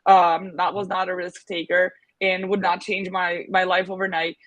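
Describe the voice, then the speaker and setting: A woman's voice: on the low side, level tone, normal speed, dry recording. A woman from Serbia, a guest in a casual interview, talking with many fillers as she thinks aloud. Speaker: agency founder, guest.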